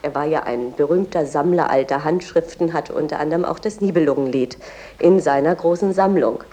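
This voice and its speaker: A woman's voice: sits low, brisk, dark tone. A woman as a tour guide, explaining a poet's house in a chatty, informative way. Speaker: museum guide.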